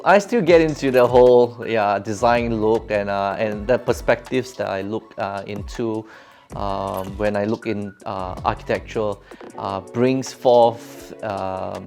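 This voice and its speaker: A middle-aged Singaporean man speaks in a fairly low voice. He answers as an interview guest, halting and circling back over his phrases. Music plays clearly behind him. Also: darkish tone. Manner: halting, rambling, repeats phrases as he goes. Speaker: interview guest, crypto educator and entrepreneur. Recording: background music under speech.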